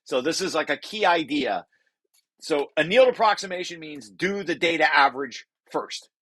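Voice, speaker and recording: A man's voice: fairly high pitch, animated, normal speed, fairly bright tone. A man presenting a research paper draft informally to colleagues, repeating and rephrasing key phrases as he explains.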